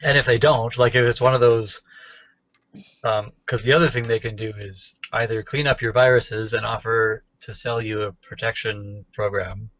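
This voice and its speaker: A man's voice: fairly low pitch, steady tone, moderate pace, no room sound. A man chatting casually and wryly as a podcast co-host, telling anecdotes. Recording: dull, lo-fi captured call audio.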